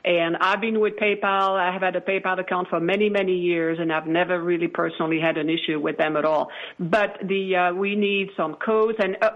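A woman interview guest explaining things in an assured, conversational way on a dull recording. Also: mid-range voice. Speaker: guest, company chief executive. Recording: dull, radio-like lo-fi audio.

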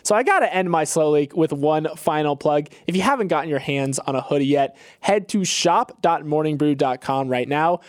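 Male podcast co-host, slightly high voice, casual commentary, very dry close sound. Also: lively intonation, normal speed, few pauses, dry recording.

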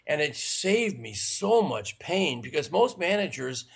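A man whose voice is heavily gravelly and husky, worn raspy from days of talking. He sits a little higher than most men and lays out advice point by point in a steady, earnest way. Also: a little slow. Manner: earnest, instructive, listing points. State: raspy, tired voice. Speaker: sales trainer guest. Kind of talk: interview answers, advice.

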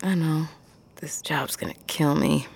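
Weary, creaky-voiced woman trucker. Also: sits low, a little slow, full character voice. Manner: blunt, exasperated. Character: stressed, sharp-tongued, weary woman long-haul trucker. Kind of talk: naturalistic audio drama acting.

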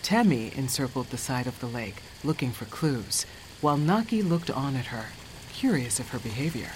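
An actor narrating an audio drama as an autonomous machine intelligence, eerie, wounded and vengeful, speaking in a slow, deliberate, ominous manner. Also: medium pitch, animated, a little hiss or hum, lightly acted. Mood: ominous calm. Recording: long reverb hall with loud music.

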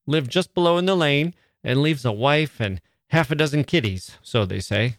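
A man's voice: fairly high pitch, expressive, moderate pace, dry recording, studio quality, voice pushed up. A man reading aloud and voicing a blunt middle-aged father who speaks plainly and matter-of-factly. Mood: grave.

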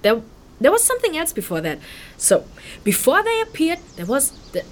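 An actress playing a chatty, candid human refugee in a fantasy audio drama, informal and expressive as she recalls things. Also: high-pitched, moderate pace. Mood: rattled, recollecting. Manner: informal, slangy, expressive, hesitant recollection.

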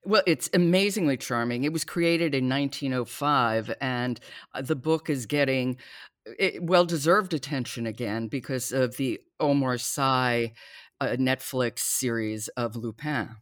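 Low-voiced woman podcast host, close and dry. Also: measured pace, no room sound. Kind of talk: podcast book discussion.

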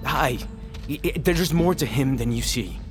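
An actor playing an earnest, idealistic young prince in an audio drama, halting and stammering as he speaks. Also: medium pitch, animated, close to an everyday voice. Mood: urgent pleading. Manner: halting, stammering over restarts. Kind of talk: dramatic audio fiction acting. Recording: music under the voice.

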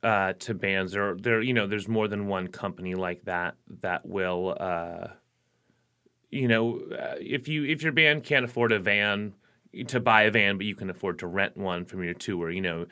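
Bright-voiced man rambling in an interview. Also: on the low side, normal speed, clear, resonant tone. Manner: rambling, many fillers and restarts. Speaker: musician guest. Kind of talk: casual interview chat. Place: radio studio.

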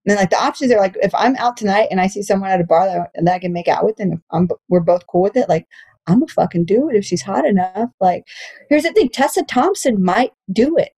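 Woman, late thirties, candid casual podcast chat, frank, profane, self-deprecating, medium pitch. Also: animated, fast, a little mellow, husky. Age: late 30s. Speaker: comedian and podcast talker.

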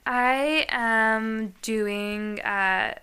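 A woman chatting casually as a podcast guest, playful and quick to joke. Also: a little high, sharp tone, smooth.

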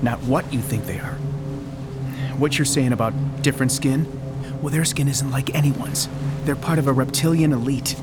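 Male, actor, obsessive conspiracy believer for audio drama. Earnest, insistent, mid-pitched, loud music underneath. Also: moderate pace, close to an everyday voice. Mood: conspiratorial.

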